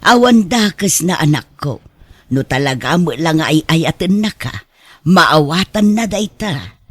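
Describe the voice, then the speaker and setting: Radio drama parent: doting and teasing, higher-pitched, very lively swings, dry close sound. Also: male voice, takes it slowly, no echo, full character voice. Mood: concerned, reassuring. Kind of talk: Ilocano radio drama acting.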